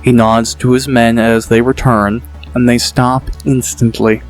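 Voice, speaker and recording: Male audiobook narrator, mid pitch, steady and descriptive. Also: measured pace, faint music behind.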